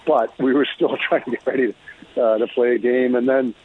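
Male, middle-aged, Canadian, husky tone, relaxed interview storytelling, dull lo-fi audio. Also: medium pitch, a little fast. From Alberta, Canada. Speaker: former pro hockey player, interview guest. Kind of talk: casual reminiscing interview. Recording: dull phone-like lo-fi sound.

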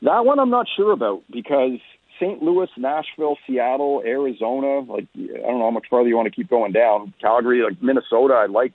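A man's voice: mid-range voice, no room sound. A man giving off-the-cuff hockey analysis as a radio guest, talking conversationally and often rephrasing as he goes. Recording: muffled, phone-like radio call-in line.

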